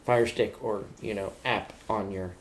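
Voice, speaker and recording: A middle-aged man co-hosting a podcast, telling stories about pop culture in a blunt, animated, slightly crude casual way. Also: on the low side, a little slow. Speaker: podcast co-host.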